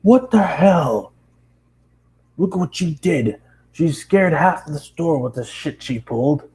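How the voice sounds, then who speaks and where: An angry middle-aged store boss, played by a man, blustering and barking his threats. His voice is pushed up and loud, a bullying character from a read-aloud story. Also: on the high side, expressive, takes it slowly, a little hiss or hum, pitched above the performer's own voice. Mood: outraged.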